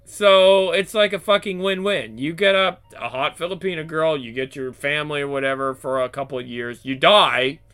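Casting: man in his 30s, channel host, high bright voice, blunt, swears a lot, rambling chat. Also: normal speed, hardly any pauses, smooth. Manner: blunt, profane, rambling with many restarts. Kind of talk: casual chat.

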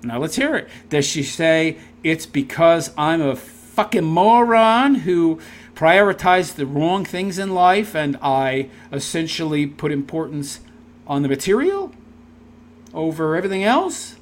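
A man's voice: on the high side, sing-song, a little slow. A man reading a text aloud and tearing into it with sarcastic, scornful commentary. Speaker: commentary video host.

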